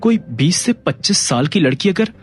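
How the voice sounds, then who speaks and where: A man's voice: high voice, big pitch swings, moderate pace, slightly rough, heavily acted. An actor playing a worried, guarded man in an audio drama, with a serious and reserved manner. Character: worried, guarded, well-bred adult man.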